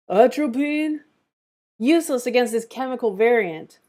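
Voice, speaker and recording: A woman's voice: a little high, lively intonation, slow pace, darkish tone, pitched above the performer's own voice. A woman playing an alarmed, urgent character in an action screenplay table read.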